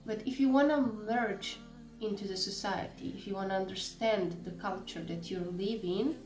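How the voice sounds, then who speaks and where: A woman's voice: medium pitch, moderate pace. A young woman with a Serbian accent, a native Serbian speaker, teaching an online language lesson in a friendly, encouraging, casual way. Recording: roomy echo with faint music.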